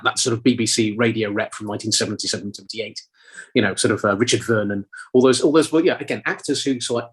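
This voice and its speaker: A man's voice: medium pitch, husky. A man, an actor and audiobook reader, chatting in an interview in a rambling way with many restarts. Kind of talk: casual interview talk.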